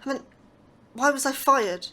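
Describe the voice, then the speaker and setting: An actress playing an earnest, anxious astrobiology lecturer with a proud streak, in an audio drama. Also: female voice, sits high, measured pace, sharp tone. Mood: indignant, hurt. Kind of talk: scripted audio drama dialogue.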